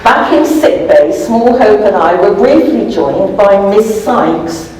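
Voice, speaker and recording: A woman's voice: mid-range voice, lively intonation, takes it slowly. A woman author giving a live comic reading from a novel with a wry, amused manner. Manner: wry, amused, often chuckling. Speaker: author reading aloud from their own novel. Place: indoor live event room. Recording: echoey room, distant mic, background noise.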